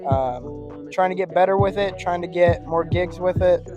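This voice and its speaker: A man's voice: sits high, moderate pace, highs cut off, over music. A young man from Indiana talking casually as a podcast guest about his photography. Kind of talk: casual interview chat.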